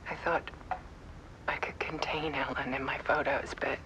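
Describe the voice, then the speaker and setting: An actress playing an exhausted, haunted woman photographer in a horror game, speaking in a hushed, halting, breathy whisper. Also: rumbling low, little pitch movement, a little hiss or hum, rough recording. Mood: anguished, exhausted. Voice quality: breathy whisper with creaky, sharp edge. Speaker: actor in a live-action game cutscene. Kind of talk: confessional monologue, horror game live-action acting.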